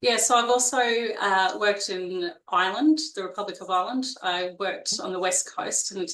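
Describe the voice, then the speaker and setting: A woman's voice: mid-range voice, moderate pace, a bit bright. Australian woman in an echoey room. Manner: chatty, with frequent fillers and restarts. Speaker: guest perioperative nurse. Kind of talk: casual interview conversation.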